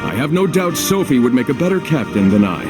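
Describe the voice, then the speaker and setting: An actor playing a gracious, dignified older army captain with a formal, measured manner in a game cutscene, music behind. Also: male voice, mid-range voice, big pitch swings, natural voice. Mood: admiring. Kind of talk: video game cutscene acting.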